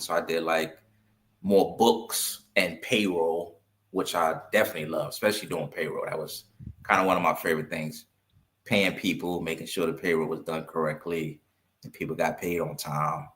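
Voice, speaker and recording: A man in his fifties from New York with a low-pitched voice. He speaks as a guest in a conversational interview, explaining things in a storytelling way and repeating points for emphasis. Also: steady tone, normal speed, fairly bright tone. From Brooklyn, New York. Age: 50s. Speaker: interview guest, accountant and business owner.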